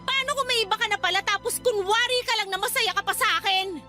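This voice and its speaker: A woman's voice: very high-pitched, fast, loud music behind the voice. An actress playing a jealous, suspicious young girlfriend in a Filipino radio drama, accusing and emotional.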